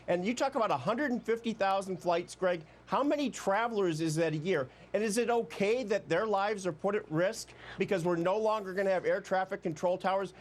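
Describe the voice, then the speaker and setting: A man with an American accent arguing insistently as a legal panelist on TV news, often using rhetorical questions. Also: high voice. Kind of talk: argumentative TV panel commentary.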